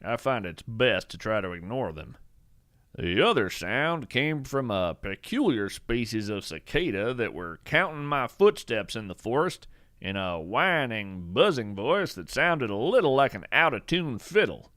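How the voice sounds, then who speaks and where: An actor playing a folksy, cheerful nature explorer who narrates a scripted audio drama with earnest, theatrical wonder. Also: male voice, medium pitch, sing-song, unhurried, a bit bright, no room sound, clean studio sound. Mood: musing storytelling. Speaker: fictional podcast host and narrator. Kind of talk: scripted storytelling monologue.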